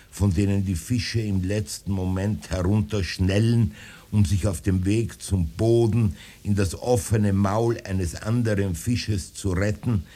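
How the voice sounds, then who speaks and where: Slow, low-voiced old man musing. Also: dark tone. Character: rambling old man spinning absurd apocalyptic visions. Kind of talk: literary radio-play monologue.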